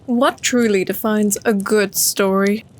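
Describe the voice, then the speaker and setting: A woman's voice: mid-range voice, fairly even intonation, unhurried, natural voice. A woman playing a cryptic, formal narrator who muses solemnly in scripted audio drama narration, on a noisy clicking tape-style recording. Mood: solemn, musing. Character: cryptic, formal narrator of a secret story collection.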